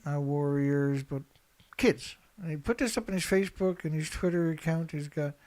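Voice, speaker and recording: A man's voice: on the high side, moderate pace, darkish tone. A man giving unscripted, opinionated news commentary as an online host, reading out headlines and reacting in a blunt, emphatic, colloquial way with a lot of repetition.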